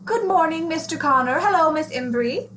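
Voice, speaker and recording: A very lilting, high female voice with noise behind it, a woman playing a genteel society mother in radio drama. She is middle-aged, fussy, fluttery and polite. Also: lively intonation, normal speed, fairly bright tone. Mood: polite, puzzled. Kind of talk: radio play acting. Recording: noticeable background noise.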